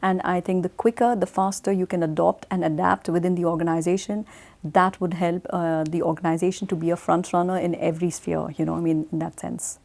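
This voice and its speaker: Woman, from India, middle-aged, lowish voice, measured, pauses with fillers. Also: fairly even intonation, creaky, darkish tone. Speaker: guest. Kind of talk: interview answers.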